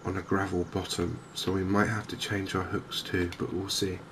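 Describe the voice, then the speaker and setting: Soft spoken asmr gamer guy, gravelly and pretty monotone, thinking out loud, ambience going behind him. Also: sits low, moderate pace. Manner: hushed, soft-spoken, hesitant thinking aloud. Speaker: gaming video host. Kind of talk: softly spoken ASMR game commentary. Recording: noticeable background ambience noise. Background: game ambience.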